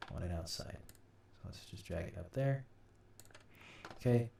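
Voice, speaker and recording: A deep-voiced man walks through software in an informal tutorial. He thinks aloud in a halting way as he works. The sound is roomy and a little distant from the mic, with a soft, dark tone. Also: expressive, vocal fry. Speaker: host, audio drama sound designer. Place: indoor room at a computer. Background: keyboard typing and mouse clicks, faint noise.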